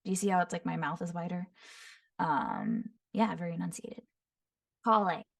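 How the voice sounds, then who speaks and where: A woman voice actor teaching voice impressions, describing each voice in short phrases and often breaking into quick character demos. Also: on the low side, wildly swooping pitch, gravelly. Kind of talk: voice impression tutorial. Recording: voice somewhat far from the mic.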